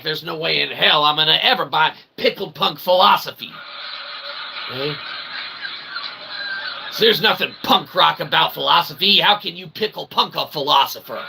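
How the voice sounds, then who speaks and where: A man hosting a livestream with a rambling, comedic philosophical monologue, speaking in theatrical, mock-formal phrases with frequent check-in tags. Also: fairly high pitch. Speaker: livestream host and self-published writer. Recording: clearly noisy recording with background ambience.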